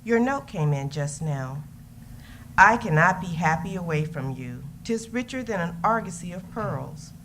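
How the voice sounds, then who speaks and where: Devoted, passionate lover played by a woman, deep female register, staged letter reading, clearly noisy recording. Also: animated, measured pace, a bit bright. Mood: impassioned. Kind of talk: readers theater love letter. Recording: noisy live event recording.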